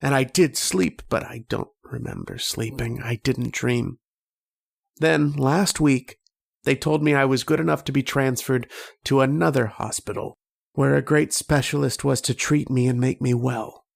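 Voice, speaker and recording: An actor playing a haunted, anguished man telling his story in a halting, dramatic old-time radio horror style. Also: medium pitch, steady tone, creaky. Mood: pained, brooding. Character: haunted, anguished man recounting his nightmares and lost love.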